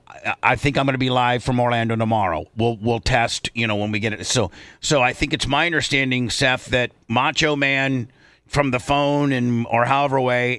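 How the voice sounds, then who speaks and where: Guy hosting a radio show, bright punchy voice, dry studio sound, rambles and repeats himself. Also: medium pitch, dry recording. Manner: rambling, repeats phrases for emphasis. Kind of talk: casual radio show banter.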